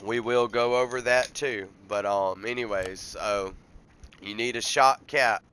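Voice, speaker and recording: A man's voice: medium pitch, fairly even intonation, measured pace, a bit bright, a little hiss or hum. A man, congested from a cold, casually walking through a car-repair game step by step in a rambling, folksy way. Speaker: gameplay tutorial host.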